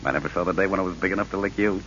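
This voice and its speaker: An actor playing a breezy, wisecracking gangster with slangy, nonchalant delivery in a 1940s radio drama. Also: male voice, low voice, fairly even intonation, very fast, fairly bright tone. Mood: defiant. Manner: slangy, nonchalant tough-guy talk. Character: breezy, wisecracking male gangster, loyal but unrepentant. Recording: hissy, dull old radio broadcast.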